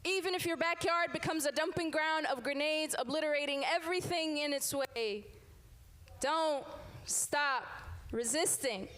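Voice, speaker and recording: A woman's voice: high-pitched, fairly bright tone. Young woman performing spoken word poetry. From Sudan. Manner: rhythmic, repeating a refrain with emphatic pauses. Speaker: poet and teacher. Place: live event room with an audience.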